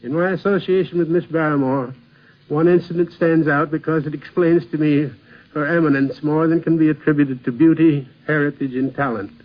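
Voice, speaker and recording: Higher-pitched man, formal vintage radio reminiscence. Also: steady tone, normal speed. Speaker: theatre producer giving a reminiscence. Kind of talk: scripted 1950s radio reminiscence. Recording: dull vintage radio recording.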